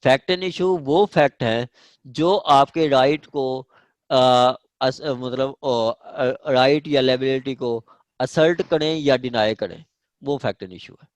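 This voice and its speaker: A man's voice: mid-range voice, takes it slowly, dry recording. A man with a Pakistani accent teaching law in an online class, repeating and rephrasing key points and checking understanding. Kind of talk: online lecture, explaining legal definitions. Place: online class over screen share.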